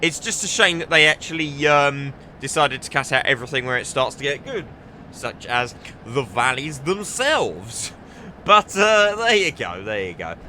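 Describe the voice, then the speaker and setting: A man's voice: mid-range voice, expressive, normal speed, pushed and bright, slight background noise. A young man giving chatty, enthusiastic commentary while playing a train simulator, often restarting his sentences. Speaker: gaming video creator. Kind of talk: casual gameplay commentary.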